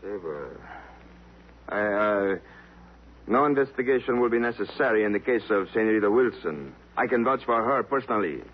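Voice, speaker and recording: Animated Spanish-accented police inspector played by a man. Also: fairly low pitch, normal speed, a little hiss or hum, lightly acted. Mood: stiff, defensive. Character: excitable, genial South American police inspector. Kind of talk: 1950s radio drama acting. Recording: tinny old radio broadcast recording.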